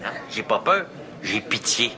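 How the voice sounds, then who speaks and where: A vain rich Québécois charmer. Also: male voice, on the low side, big pitch swings, unhurried. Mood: smug. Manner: boastful, self-satisfied. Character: vain rich man, sure women adore him. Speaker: sitcom character, rich friend. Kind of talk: sitcom acting. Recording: TV sitcom audio with noise.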